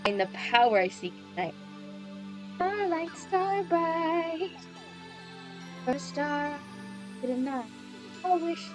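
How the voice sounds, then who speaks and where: A woman's voice: very high voice, expressive, slow, long pauses, music in the background, pitched above the performer's own voice, exaggerated voice, low-quality recording. A woman voicing a young, wishful secret superhero heroine in a visual novel, chatty and dramatic. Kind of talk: visual novel character narration.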